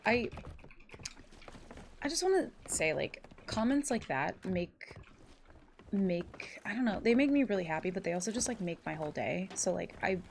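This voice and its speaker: A woman's voice: mid-range voice, very animated, normal speed, creaky, a little hiss or hum. A woman streamer with a stuffy, congested voice, chatting casually and thanking viewers, rambling with lots of fillers and swearing. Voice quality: nasal, congested. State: stuffy nose. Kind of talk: casual live-stream chat, reading chat aloud.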